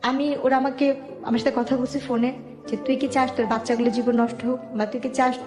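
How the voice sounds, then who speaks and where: An echoey room surrounds a woman's fairly high voice. She speaks with a Bangladeshi accent, recounting her own life to an interviewer in everyday conversational words, often acting as reporter of other people's dialogue. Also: flat delivery, a little fast. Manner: colloquial, step-by-step narrating with quoted dialogue. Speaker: interview guest telling her life story. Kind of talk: personal testimony in conversational speech. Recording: echoey room with music underneath. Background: background music.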